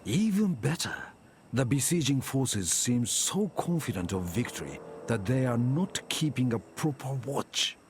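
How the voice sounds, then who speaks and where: A man's voice: mid-range voice, big pitch swings, measured pace, slight background noise. A man playing a solemn, resolute samurai chronicler, giving dramatic scripted historical narration for a game cutscene over music. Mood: grave, hopeful. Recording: music behind the voice. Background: cinematic music.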